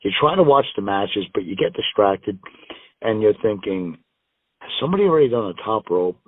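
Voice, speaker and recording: A man's voice: on the low side, sing-song, a little fast. A man from the United States, a wrestler guest, telling stories in a rambling, self-deprecating way and laughing often. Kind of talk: casual interview storytelling. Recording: tinny dry phone line.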